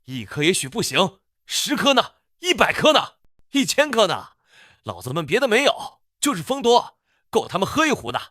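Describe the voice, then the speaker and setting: A man playing a brash, rough-talking fighter briefing troops in a motion-comic drama, with an emphatic, swaggering delivery. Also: high-pitched, huge pitch swings, normal speed, heavily acted. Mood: brash, fired up. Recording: loud music under the voice. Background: loud music.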